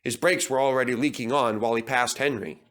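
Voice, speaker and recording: A man's voice: mid-range voice, fairly even intonation, fairly quick, fairly bright tone. A man narrating a children's story as a scripted reading.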